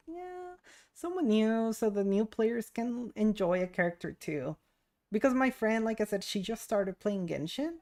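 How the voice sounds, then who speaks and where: A woman's voice: a little high, darkish tone. A young adult woman streaming a game, chatting casually and rambling as she muses aloud. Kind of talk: casual livestream chat while gaming.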